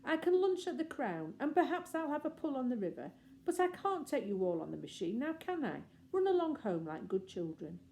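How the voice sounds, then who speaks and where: A haughty young man from a storybook, as a woman narrator puts him on, with a soft-edged, mellow voice. He is airy and condescending, with an affected politeness and a short temper. Noticeable noise sits behind the recording. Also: high-pitched, big pitch swings, a little fast, pitched above the performer's own voice. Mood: airily condescending. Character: pompous, irritable young man, airily condescending to children.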